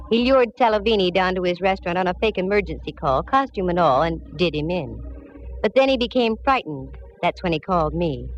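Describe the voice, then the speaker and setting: A woman's voice: fairly low pitch, lightly acted. A dull old 1940s radio recording with faint music. An actress plays a plucky American woman detective, telling her case in a wry, clipped, hard-boiled way with plenty of drama. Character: plucky, wry female private eye narrating her case.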